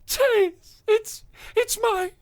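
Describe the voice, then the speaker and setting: A woman's voice: very high-pitched, drawn-out words, no echo, full character voice. A woman playing a distraught, frantic wife in a radio-style comedy, stammering through sobs. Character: distraught, hysterical wife of a missing man. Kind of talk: old-time radio comedy acting.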